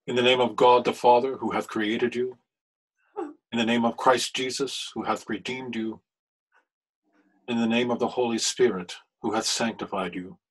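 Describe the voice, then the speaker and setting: A solemn, forgiving older husband in a period radio mystery, played by a man. The voice is fairly low and rough-edged, with gentle, measured delivery. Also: rough recording. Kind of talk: period radio drama acting. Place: room at home, video call.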